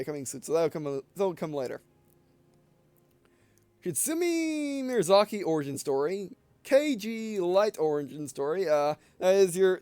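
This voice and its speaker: Rambling high-voiced man doing gameplay commentary. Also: clean tone, no echo. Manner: rambling, digressive, often trails off mid-sentence. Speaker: gameplay video host.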